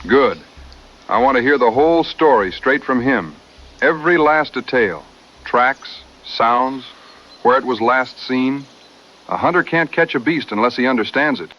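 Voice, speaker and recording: An actor playing a confident, plainspoken American frontier hunter with laconic, folksy dry humor in a western audio drama. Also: male voice, medium pitch, animated, measured pace, lo-fi sound, a little hiss or hum, natural voice. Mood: steady, resolute.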